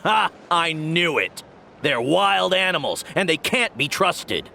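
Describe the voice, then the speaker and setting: Casting: sneering tribesman, bright gravelly voice, swooping and slow, faint noise. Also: on the high side, very animated, exaggerated voice. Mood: scornful. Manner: mocking, combative. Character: scornful male tribesman, blunt and mocking. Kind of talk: video game voice acting.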